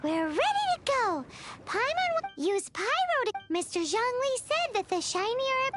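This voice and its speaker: Squeaky, very high fairy girl. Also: sing-song, moderate pace, loud music behind the voice. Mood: excited. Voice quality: childlike, squeaky. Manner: chirpy, exclamatory, refers to herself by name. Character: tiny floating fairy girl companion, excitable and cheeky. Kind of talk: video game character acting.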